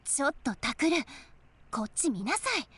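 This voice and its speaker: A woman's voice: high voice, lively intonation, quick pace, fairly bright tone. A woman playing a bossy, protective big-sister schoolgirl who scolds insistently in a mystery visual novel. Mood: scolding. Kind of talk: Japanese visual novel voice acting.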